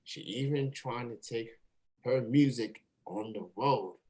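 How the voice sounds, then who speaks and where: A man hosting a casual online interview, talking in a halting way and repeating words. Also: medium pitch, darkish tone. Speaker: show host interviewer.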